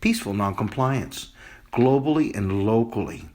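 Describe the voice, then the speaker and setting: An idealistic male visionary chef, acted by a man in a homemade audio drama. He is courteous and measured, explaining his ideas to a president in an everyday voice. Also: on the low side, animated, darkish tone, natural voice. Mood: calm, idealistic.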